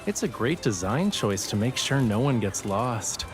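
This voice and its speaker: Teasing, lively young boyfriend. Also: male voice, mid-range voice, measured pace, over music. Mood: affectionate, playful. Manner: teasing, playful, smug. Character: teasing, playful young man, affectionate artist boyfriend. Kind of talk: romantic game audio drama acting.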